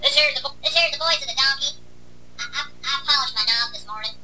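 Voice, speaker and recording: A goofy, stammering cartoon donkey, performed by a man. The voice is shifted high by software, with a very bright, forceful edge. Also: fast talker, recorded from a distance, slight background noise, heavily acted, rough recording. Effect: pitch-shifted up by software in replays.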